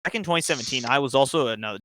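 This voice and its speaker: Bright, dry-sounding joking young man. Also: mid-range voice, expressive, racing, dry recording. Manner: joking, self-mocking. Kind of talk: casual chat.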